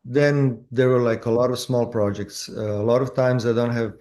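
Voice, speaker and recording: A man with a Croatian accent, an actor telling his story in a casual interview, halting with many fillers and restarts. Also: mid-range voice, steady tone, a little mellow. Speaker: actor guest.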